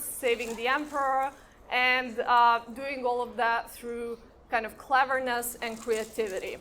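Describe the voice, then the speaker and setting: A woman speaks in a high, bright voice at an unhurried pace. She is giving an informal talk at a live event, addressing the audience conversationally. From Croatia and Serbia. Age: 30s. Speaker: conference speaker. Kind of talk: informal conference talk with personal anecdotes. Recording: live event with background noise.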